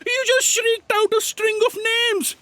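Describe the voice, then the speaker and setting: Woman playing an exasperated, high-voiced crew member. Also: fairly even intonation, unhurried, bright, forceful tone, dry recording, heavily acted. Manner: emphatic, scolding.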